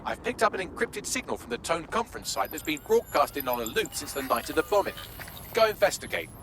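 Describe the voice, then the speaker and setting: Lively, high-pitched British AI sidekick. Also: male voice, fast talker, noisy background. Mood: sardonic. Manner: sarcastic, gleeful, sweary. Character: cheeky, sardonic, excitable male AI hacker assistant. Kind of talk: video game mission dialogue.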